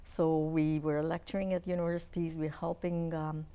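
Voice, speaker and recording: A woman's voice: low voice, level tone, moderate pace, mellow, clear, resonant tone. An older woman from Uganda, a dentist guest in a casual interview, telling stories earnestly with many fillers and restarts.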